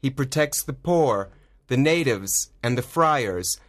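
Courteous noble hero, man reading, mid voice, calm and steady, storybook. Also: medium pitch, slow pace. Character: gentle noble young man, secretly a masked hero.

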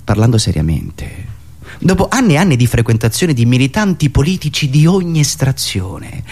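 A man with a Roman Italian accent performing an ironic, theatrical satirical monologue, swinging between muttering and loud declaiming. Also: fairly high pitch. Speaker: satirical monologue performer and radio show host.

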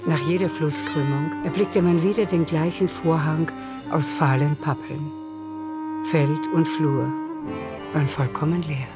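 A woman narrating a literary radio play in the third person, with measured composure. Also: low-pitched, fairly even intonation, over loud music. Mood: composed.

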